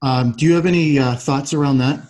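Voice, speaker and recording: A man's voice: mid-range voice, brisk, a little mellow, low-quality recording. A man hosting a podcast, interviewing his guest in a conversational, supportive way. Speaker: podcast host.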